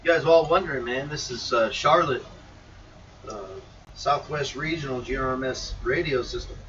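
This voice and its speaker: A man's voice: medium pitch, moderate pace, a bit bright. A man making casual shop talk as a CB radio shop worker. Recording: dull distant mic, faint noise.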